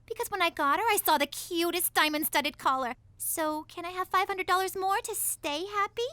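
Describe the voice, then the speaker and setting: A squeaky spoiled teen girl. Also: normal speed, pushed and bright, no echo. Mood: whiny. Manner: whiny and melodramatic. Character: spoiled, whiny teenage daughter.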